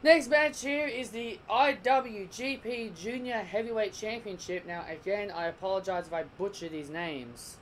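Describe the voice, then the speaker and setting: Croaky-voiced man rambling a review. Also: high voice, moderate pace, few pauses, fairly bright tone, slight background noise. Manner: rambling, restarts and corrects himself. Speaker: wrestling reviewer. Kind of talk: casual video review.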